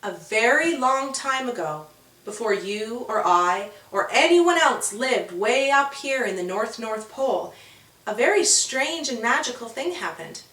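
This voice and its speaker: Woman reading as caring female storybook friend. Fairly high voice, gentle, expressive, roomy echo. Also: unhurried, distant mic. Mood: wondrous, hushed.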